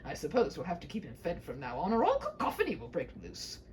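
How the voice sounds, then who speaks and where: A woman's voice: on the low side, wildly swooping pitch, fast talker, a little mellow, voice pushed down. A woman voicing a huffy, absent-minded but doting old king and father in a humorous fairy-tale reading, effusive and blustering. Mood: hearty, effusive.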